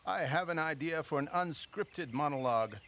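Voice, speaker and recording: A proud older gentleman in an audio drama. His fairly high voice has a creaky edge, and he declaims in florid, old-fashioned phrases with theatrical self-importance. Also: lively intonation, normal speed, slight background noise. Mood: grand, declaiming. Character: proud, theatrical older father, owner of a walking-tour company.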